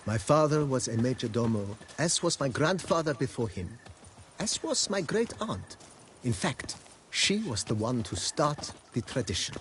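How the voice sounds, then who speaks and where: An actor playing a formal, refined majordomo of a wine estate in a video game, deferential and given to telling tales with flourish. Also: male voice, medium pitch, sing-song, a little slow, fairly bright tone, slight background noise. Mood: polite, proud. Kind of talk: video game character acting.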